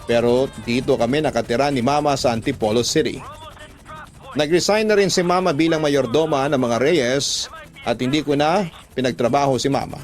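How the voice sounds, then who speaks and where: A man's voice: medium pitch, normal speed. A man with a Filipino accent reading a listener's love letter as a scripted first-person radio narration.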